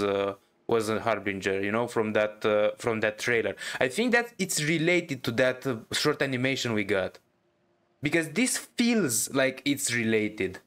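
A man giving casual gameplay reaction commentary as a streamer, musing aloud and haltingly. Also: mid-range voice, fairly bright tone. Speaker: game streamer.

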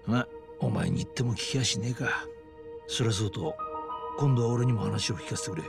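Gruff veteran cop, low gravelly voice, informal, pragmatic, dramatic game acting. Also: male voice, a little fast, over loud music. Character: seasoned older police detective, gruff and pragmatic.

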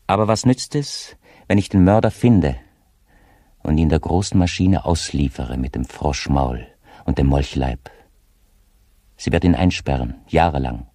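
An actor playing a mentally disturbed, paranoid magistrate, brooding and halting as he reads his haunted diary in an audio play. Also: deep voice, dark tone, no room sound, studio quality. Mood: obsessed, resolute.

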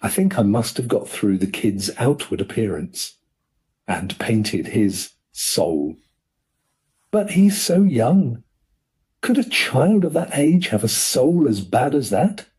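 A man doing an excitable young painter. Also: sits low, slow pace, breathy edge, exaggerated voice. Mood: miserable. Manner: anxious, then wildly excited.

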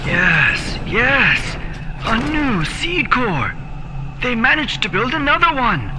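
Very high-pitched, frantic game character. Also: male voice, sing-song, takes it slowly, noisy background, heavily acted. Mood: alarmed. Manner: emphatic, stretched exclamations. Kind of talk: video game cutscene acting.

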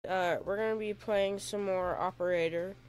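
A man's voice: sits high, fairly even intonation, moderate pace. A young man with a stuffy, cold-blocked voice, rambling through casual gameplay commentary with lots of goofy self-corrections. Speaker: gaming YouTuber.

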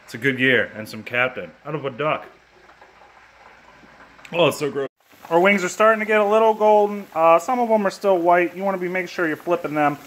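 A young man in his twenties filming a comedic cooking video in his home kitchen on a phone held at arm's length, cracking crude deadpan jokes and swearing freely. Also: sits high, fairly quick, a little hiss or hum. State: hungover.

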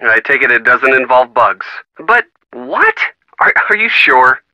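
An actor playing an easygoing, teasing young American boyfriend in a video game, casual and wry. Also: male voice, mid-range voice, big pitch swings, measured pace, pushed and bright, no echo, full character voice, rough recording. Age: young adult.

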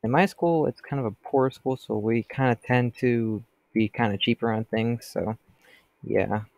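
A man's voice: mid-range voice, fairly even intonation, unhurried, creaky, a little mellow, dry recording. A teenage boy with a stuffy cold voice, rambling casually as he comments on his gameplay. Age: teens. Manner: chatty, rambling, talks to customers.

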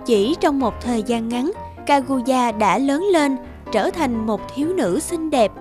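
A woman narrating a scripted fairy tale for children in a measured storytelling manner. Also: high voice, animated, music in the background.

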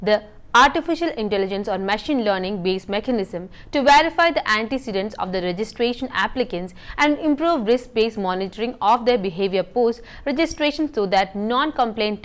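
A young woman, reading a scripted news bulletin in a steady, measured way in a studio. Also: medium pitch. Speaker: news presenter. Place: indoor TV news studio set.

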